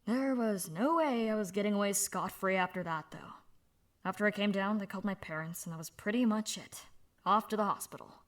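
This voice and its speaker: A woman voicing a young woman speaking softly and haltingly about her past, in a fan audio drama. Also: medium pitch, lightly acted. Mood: somber. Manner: soft, halting, confessional. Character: young woman, subdued, candid.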